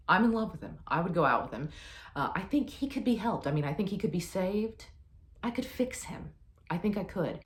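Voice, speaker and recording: Woman book vlogger w a low voice, gushing and emphatic, kinda self-deprecating, roomy echo. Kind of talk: casual chatty book review monologue. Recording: roomy, echoey sound.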